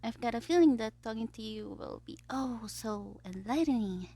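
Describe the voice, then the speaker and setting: A woman's voice: a little high, expressive, normal speed, dark tone. A woman playing a smug, theatrical young supervillain who schemes playfully in an audio roleplay diary. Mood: feigned charm. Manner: theatrical, playful, self-satisfied, drifting into conspiratorial whispers.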